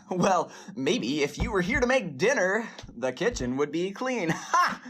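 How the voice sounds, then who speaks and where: A lowish, slow voice of a resentful husband, acted by a woman in an audio drama. The delivery is halting and aggrieved, with bitter sarcasm and wide swings in pitch. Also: sing-song, fairly bright tone, breathy edge, full character voice. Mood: sarcastic, resentful. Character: resentful, neglected, bitter husband in a failing marriage.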